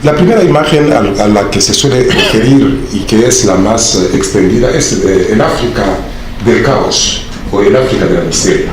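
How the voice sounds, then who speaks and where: A man from the Democratic Republic of the Congo, a native Swahili speaker, giving a formal academic speech in a conference hall, measured and full of hesitations. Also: lowish voice, lively intonation, background noise. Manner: measured lecturing with frequent hesitations. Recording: very echoey hall.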